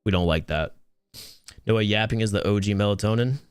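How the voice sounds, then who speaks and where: A young man with a smooth, soothing voice casts a mobile game tournament live and talks straight to his chat. He chats loosely and restarts his words as he goes. The sound is close and dry, with no room around it. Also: lowish voice, fairly quick, no echo. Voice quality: soothing, relaxed voice. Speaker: tournament host and caster. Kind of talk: live stream esports commentary.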